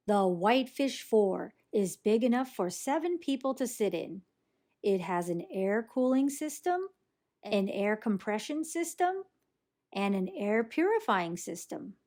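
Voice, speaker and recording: A woman reading a children's storybook aloud in an expressive, animated way that acts out the action. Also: medium pitch. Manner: animated, acts out sound effects and character lines. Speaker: storybook reader.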